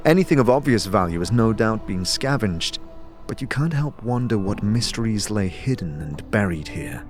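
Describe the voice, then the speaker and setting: Lowish-voiced man narrating dark fantasy. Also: lively intonation, normal speed, soft background music. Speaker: narrator, game master. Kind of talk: dramatic scripted narration.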